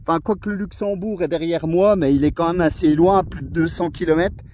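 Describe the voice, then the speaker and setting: A chatty man makes a portable ham radio contact from an outdoor summit, talking freely with many fillers. His voice sits high for a man. The sound is close and dry. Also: steady tone, brisk, darkish tone, dry recording. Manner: chatty, friendly, frequent fillers. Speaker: radio amateur operating outdoors. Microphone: portable radio, filming a video.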